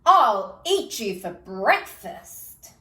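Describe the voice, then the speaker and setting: Huge menacing fairy tale monster, played by a woman: loud, slow roaring in a roomy space. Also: on the high side, sing-song, full character voice. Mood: angry, threatening. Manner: bawling, roaring. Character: huge angry menacing male giants and trolls.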